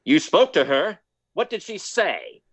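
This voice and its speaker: A fretful, wealthy older man from an old-time detective play , put on by an actor. He speaks slowly and formally, his pitch swinging widely. The sound is close and dry. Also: wildly swooping pitch, husky, dry recording, full character voice. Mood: startled. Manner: formal, fussy, exclamatory. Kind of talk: 1940s radio detective drama.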